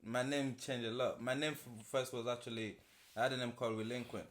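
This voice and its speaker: A man in his thirties from Nigeria, with a voice sitting at an ordinary middle pitch. He tells his stories in a relaxed, casual way, full of slang and easy laughter, like a guest settling into an interview. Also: fairly quick, slight background noise. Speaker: music producer and interview guest.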